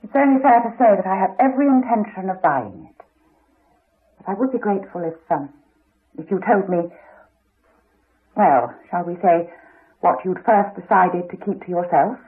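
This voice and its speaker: An actress playing a determined, probing, well-to-do wife with an English accent, polite, clipped and insistent, in a radio drama. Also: female voice, medium pitch, expressive, normal speed, long pauses, lightly acted. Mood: firm, dry. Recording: dull, band-limited 1980s radio recording.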